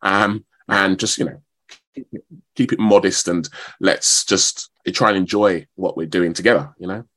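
Very low-pitched man, conversational. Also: normal speed, a bit bright. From London, England. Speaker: actor, radio show guest. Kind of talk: conversational radio interview.

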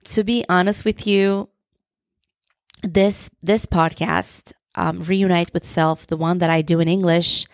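A woman's voice: lowish voice, steady tone, unhurried, no echo, studio quality. A young woman with a Turkish accent, hosting her own podcast, who talks in a reflective and openly personal way. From Turkey. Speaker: podcast host. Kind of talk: solo podcast monologue.

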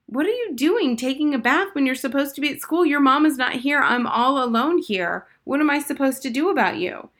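A woman's voice: sits high, lively intonation, fairly quick, hardly any pauses, fairly bright tone, pitched above the performer's own voice. A woman voicing a grumbling, put-upon middle-aged cook who scolds through a door. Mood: scolding.

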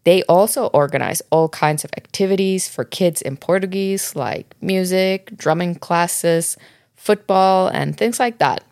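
A woman in her thirties from Finland, co-hosting a podcast and calmly explaining tips to parents. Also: fairly low pitch, measured pace, dry recording, studio quality. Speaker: podcast co-host. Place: Montreal, Canada.

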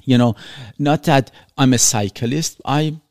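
Man from Iran, podcast host, earnest, explains with examples, mid pitch, dry close sound. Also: measured pace, darkish tone, no echo. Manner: earnest, explains with examples, non-native phrasing. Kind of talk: reflective conversational podcast.

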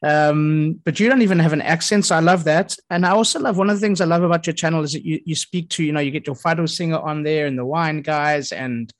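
A man's voice: fairly high pitch, quick pace, few pauses. A man hosting a casual interview, enthusiastic and prone to stumbling restarts as he asks questions.

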